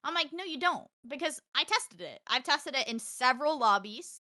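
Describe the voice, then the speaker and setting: A young woman with a high, loud voice chatting casually as a podcast guest about her gaming life, outspoken and emphatic, swearing freely. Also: animated, moderate pace. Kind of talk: casual chat, animated anecdotes.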